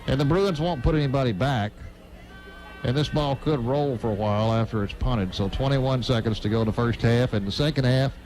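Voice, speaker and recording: A man's voice: mid-range voice, brisk, a little mellow, poor audio. A man doing live radio play-by-play commentary of a football game, broadcast from a stadium. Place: outdoor high school football stadium broadcast.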